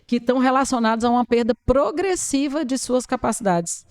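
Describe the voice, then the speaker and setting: A woman's voice: on the high side, fairly quick, darkish tone. A woman, an occupational therapist answering interview questions in an earnest, emphatic, explanatory way.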